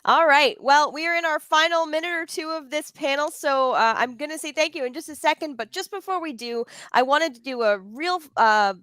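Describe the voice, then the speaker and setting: A woman's voice: sits high, moderate pace, few pauses, a bit bright, dry recording. A woman moderating a panel discussion, talking conversationally as she hosts.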